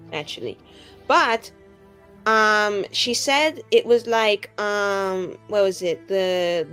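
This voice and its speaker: A woman playing a bubbly, vain, scatterbrained young adventurer in a tabletop roleplay, rambling breezily with lots of "like". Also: medium pitch. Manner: rambling, heavy use of "like", breezy.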